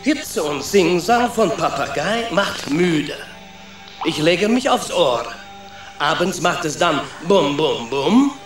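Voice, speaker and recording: Slow-talking Italian-accented boastful rat. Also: male voice, fairly high pitch, sing-song, echoey room, music in the background. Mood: playful, boastful. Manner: broken German syntax, theatrical storytelling. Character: boastful, carefree migrant rat, a well-travelled male storyteller. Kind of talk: children's radio play acting.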